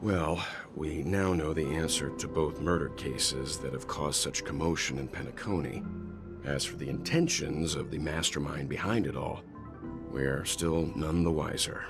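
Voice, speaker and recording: Deep-voiced, composed investigator. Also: male voice, steady tone, gravelly, over music, exaggerated voice. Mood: grave, measured. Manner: measured, deliberate, formal phrasing. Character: composed, thoughtful mature man, an investigator-traveller. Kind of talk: video game voice acting.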